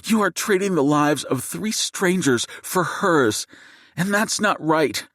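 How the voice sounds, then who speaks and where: A man voicing a fierce, furious mother who shouts and seethes at a gunman, in an audiobook. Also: fairly high pitch, expressive, slow, no echo, clean studio sound, pitched above the performer's own voice, full character voice. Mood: furious, defiant.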